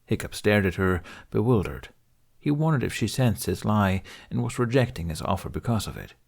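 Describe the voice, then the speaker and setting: A man's voice: fairly low pitch, big pitch swings, moderate pace, gravelly, a little mellow. A man reading scripted story narration as the narrator of an audiobook.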